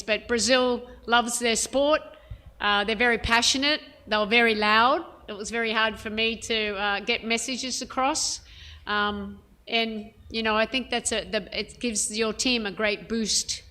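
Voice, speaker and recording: A woman head coach answering reporters' questions at a press conference, frank and measured, with plenty of "um" and "you know". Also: a little high, steady tone, pushed and bright. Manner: frank, measured, many fillers.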